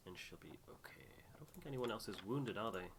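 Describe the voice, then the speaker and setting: A man's voice: on the low side, animated, quick pace, background noise. A man giving casual gameplay commentary, thinking aloud and reading out on-screen text. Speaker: host of a game playthrough. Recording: music behind the voice. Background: game music with guitar.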